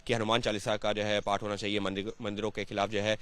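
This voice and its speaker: A man's voice: on the low side, completely flat delivery, fast, fairly bright tone, clean tone. A man working as a TV news reporter, asking wordy, emphatic questions and summing up in news-report style. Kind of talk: news interview, piece to camera.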